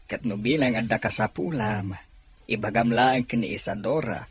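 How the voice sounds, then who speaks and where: A man playing a kind, fatherly gentleman with a gentle, reassuring manner in an audio drama. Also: fairly low pitch, normal speed, slightly rough.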